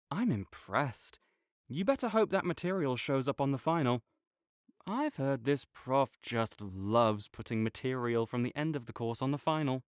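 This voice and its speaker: A smug young academic rival, voiced by a performer teases and talks down with sarcastic, half-flustered condescension. The delivery is slow and drawn out, with pitch swinging widely from line to line. The voice sounds dry and close, with no room around it. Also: no room sound. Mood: defensive teasing. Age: young adult. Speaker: audio roleplay performer. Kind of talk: scripted audio roleplay acting.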